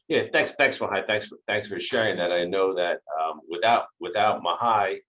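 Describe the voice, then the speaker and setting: A middle-aged man with a low voice introduces staff and often repeats his words. The video call audio from his small office sounds somewhat dull. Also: moderate pace, a bit bright. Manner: repeats words, upbeat thanks.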